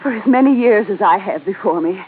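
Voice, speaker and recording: An actress playing a scheming woman who poses as a melodramatic damsel in distress, theatrical and flattering, in an old radio drama. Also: mid-range voice, a little fast, natural voice. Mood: flattering, grateful. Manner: theatrical, pleading, overwrought. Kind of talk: 1940s-50s radio drama acting. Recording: tinny, noisy old radio recording.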